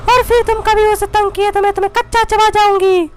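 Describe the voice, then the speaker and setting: A woman's voice: extremely high pitch, flat delivery, fairly quick, faint music behind. A woman playing a threatening witch posing as a vengeful mother in a Hindi cartoon story.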